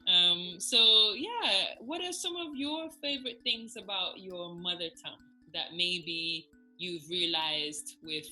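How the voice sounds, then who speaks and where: Woman interviewer, super bright voice, upbeat chatty questions, a bit of music faintly behind. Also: lowish voice, slow pace. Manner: enthusiastic, warm reactions with hesitations. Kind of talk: casual interview.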